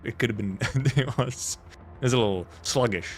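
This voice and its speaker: A man's voice: mid-range voice, moderate pace. A man streaming a game, reacting casually with wry, offhand muttered asides. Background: faint game music.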